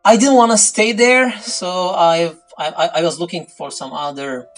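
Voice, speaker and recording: A man with a Turkish accent who speaks English as a second language, answering interview questions in an unhurried, searching way. He pauses often on fillers and restarts his sentences. Soft guitar plays faintly behind him. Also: sits high, expressive, rough recording. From Turkey. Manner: hesitant, many fillers and restarts.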